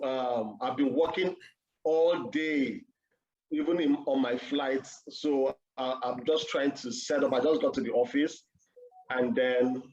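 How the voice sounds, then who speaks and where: A man's voice: medium pitch, darkish tone, dull audio, slight background noise. A man from Nigeria, giving an earnest conference talk over a video call and telling his own story. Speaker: conference speaker. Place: office.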